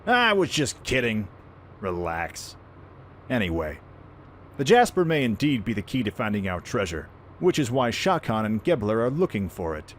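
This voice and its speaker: A man playing a brash, friendly young pirate prince in an animated, informal way for a fan audio drama. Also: lowish voice, moderate pace, slight background noise. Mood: teasing, persuasive.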